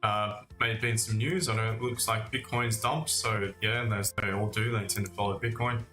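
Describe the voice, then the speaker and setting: A man's voice: fairly low pitch, steady tone, normal speed, sharp tone. A man hosting a live trading stream with casual, conversational market commentary, talking directly to his viewers as mates. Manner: conversational, addressing viewers as mate and guys. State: recovering from cough and lost voice. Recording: roomy echo, faint music.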